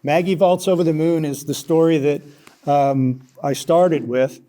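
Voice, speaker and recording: Older man, American accent, mellow tone, slightly high pitch, thoughtful halting delivery, interview storytelling. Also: fairly even intonation. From United States, Kansas. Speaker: interview guest, author and former sports journalist. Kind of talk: conversational interview answers, reflective storytelling.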